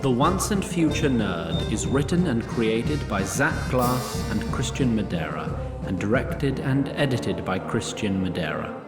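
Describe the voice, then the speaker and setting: A man narrating a scripted fantasy story in a measured, formal storytelling style. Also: medium pitch, lively intonation, echoey room. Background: music.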